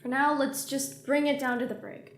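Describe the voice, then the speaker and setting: A woman's voice: sits high, level tone, moderate pace, close to an everyday voice. A woman playing a sarcastic, slangy starship captain in a science fiction audio drama. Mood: decisive. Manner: casual, sardonic, slangy. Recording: roomy echo, faint noise.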